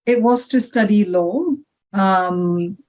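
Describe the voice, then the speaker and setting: A woman's voice: medium pitch, slow pace, darkish tone, poor audio. A middle-aged woman from Malaysia, a podcast guest telling her story in a reflective, conversational way with frequent "you know" fillers. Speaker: podcast guest, head of legal.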